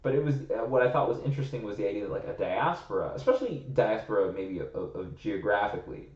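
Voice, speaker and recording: A man's voice: fairly low pitch, expressive, quick pace, a little mellow. A young American man co-hosting a podcast, rambling and thinking aloud in casual chat, leaning hard on "like.". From United States. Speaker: podcast co-host. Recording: roomy, slightly muffled recording.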